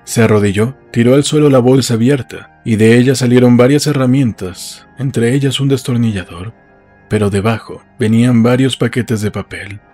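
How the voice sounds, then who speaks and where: A man's voice: mid-range voice, steady tone, moderate pace, a little mellow. A man narrating a novel as a scripted literary audiobook. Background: faint music.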